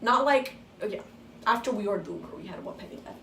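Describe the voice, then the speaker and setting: A woman's voice: mid-range voice, expressive, fast talker, creaky, pushed and bright. A teenage girl chats through a story in a casual way, swearing often and acting out each line of dialogue. She sounds a little far from the mic in an echoey room, with a faint hiss behind her. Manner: casual, swears freely, acts out quoted dialogue. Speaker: vlogger.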